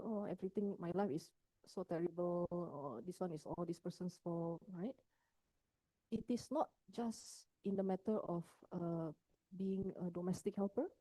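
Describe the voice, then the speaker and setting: A woman's voice: medium pitch, dark tone, breathy edge, dry recording, rough recording. A woman from Indonesia, a psychologist giving a workshop talk on mental health, often checking in with her listeners as she explains.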